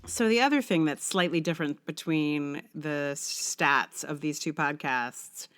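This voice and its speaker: A woman's voice: deep voice, unhurried, fairly bright tone, faint music behind. A middle-aged woman hosting a solo podcast, musing in a wry, self-questioning way. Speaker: podcast host. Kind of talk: scripted essay reading, then casual rambling solo podcast talk.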